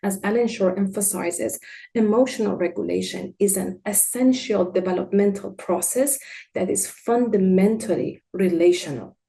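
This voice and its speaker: A woman whose first language is Farsi, presenting a clinical case study as an art therapist in a measured, gentle way. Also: mid-range voice, gravelly. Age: adult with a child. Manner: measured, gentle, partly read from notes.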